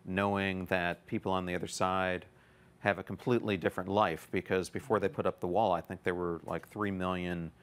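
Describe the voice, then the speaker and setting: A man's voice: deep voice, flat delivery, fairly quick. A man in his fifties hosting an interview, earnestly setting up his questions with context. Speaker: interview host.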